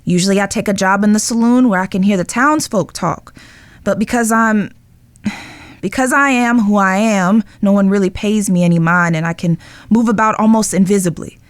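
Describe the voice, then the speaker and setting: A woman playing a guilt-ridden, lovestruck young outlaw spy in an audio drama, speaking earnestly and emotionally with pauses. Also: medium pitch, no echo, lightly acted. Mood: confessional, wary.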